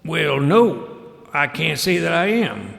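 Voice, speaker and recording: A man's voice: on the high side, very animated, takes it slowly, gravelly, fairly bright tone, heavily acted. A man playing a scruffy, blunt, folksy runaway husband in an audio drama, his voice echoing in an empty hall. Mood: folksy, pleading. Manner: colloquial, blunt. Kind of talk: scripted audio drama dialogue. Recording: long echo of an empty hall.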